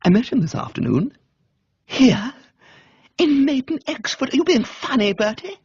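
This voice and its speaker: Male reader as bossy young woman, upper-class English, haughty, excitable, raised pitch, breathy rasp, radio storybook. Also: moderate pace, no room sound, highs cut off, full character voice. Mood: excited. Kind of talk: dramatised audiobook reading.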